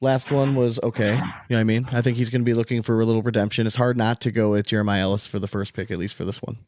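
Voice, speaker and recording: A man's voice: lowish voice, flat delivery, very fast, darkish tone, no room sound. A man hosting a sports podcast in casual, conversational talk with his co-host and guest.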